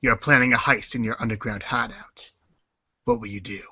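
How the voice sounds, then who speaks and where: Storybook gangster boss, played by a man: sinister, theatrical, deliberate, hard bright tone, dry sound. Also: medium pitch, slightly rough, no room sound, highs cut off. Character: sinister raging gangster boss Spade Slick narrating his schemes. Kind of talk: dramatic storybook read-along.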